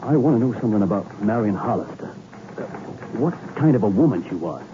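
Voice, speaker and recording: An actor playing an anxious, halting young man in a 1960s radio thriller. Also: on the low side, animated, natural voice. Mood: hesitant, probing. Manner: halting, stammering. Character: anxious young man haunted by a ghost, insistent. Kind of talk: 1960s radio drama acting. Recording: tinny, noisy old radio recording.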